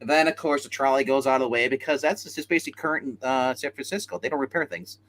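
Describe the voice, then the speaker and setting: A man's voice: mid-range voice, fast talker, a bit bright. A man hosting a casual comic book chat show, talking in a chatty, slangy way. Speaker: host of a comics review show.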